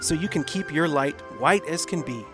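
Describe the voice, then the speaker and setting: Higher-pitched man narrating a movie review. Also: normal speed, over music. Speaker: video host and film reviewer. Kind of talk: scripted video-essay narration.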